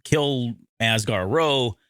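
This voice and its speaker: A man co-hosting a podcast, recapping a story in casual, halting conversation and often correcting himself. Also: mid-range voice, a bit bright, clear, resonant tone, dry recording, studio quality. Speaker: podcast co-host. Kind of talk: casual discussion recapping an audio drama.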